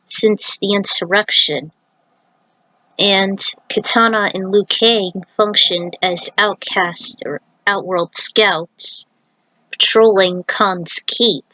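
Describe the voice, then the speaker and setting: A woman reads a story aloud in an informal, chatty style, slipping between narration and casual commentary. Her pitch sits in the middle of the female range. The sound is very dry, with little room echo. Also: a bit bright. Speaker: narrator reading own fan fiction. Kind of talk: story reading with casual asides.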